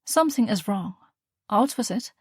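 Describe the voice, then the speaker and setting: A woman reading the part of a calm, loving mother, speaking softly and tenderly. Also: medium pitch, moderate pace, husky, no room sound, clean studio sound, voice pushed up. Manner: soft, tender, reassuring.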